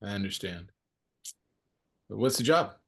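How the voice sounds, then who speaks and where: A man's voice: lowish voice, lively intonation, measured pace, gravelly, fairly bright tone, heavily acted. A man playing a laid-back, friendly young ski guy in a screenplay table read, casual and teasing. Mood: warm, casual. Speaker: actor at a script table read. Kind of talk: casual naturalistic screenplay reading.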